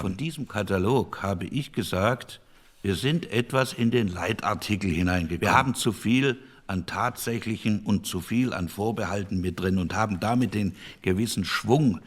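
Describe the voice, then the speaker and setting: An actor playing a dry, sceptical, opinionated statesman speaking deliberately in a radio drama debate. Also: fairly low pitch, lightly acted. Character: dry, sceptical liberal male elder statesman in committee.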